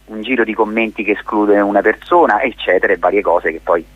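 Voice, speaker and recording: A man's voice: fairly low pitch, fairly quick, breathy edge. Man on a thin phone-like line. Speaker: theatre director interviewed as guest. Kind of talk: conversational radio interview.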